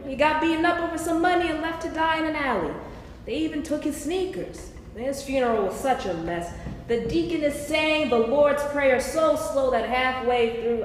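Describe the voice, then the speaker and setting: A woman plays a brash, foul-mouthed friend who spins family gossip with theatrical relish. The voice is high and exclamatory, in a staged reading in a very echoey hall with faint noise behind it. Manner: theatrical, exclamatory comic storytelling. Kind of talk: staged play reading, live. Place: live stage in a reverberant hall.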